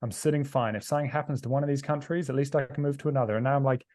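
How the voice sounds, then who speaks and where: A man raised in New Zealand, a podcast guest telling quick, chatty stories. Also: mid-range voice, steady tone, darkish tone, no echo, clean studio sound. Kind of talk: casual interview chat.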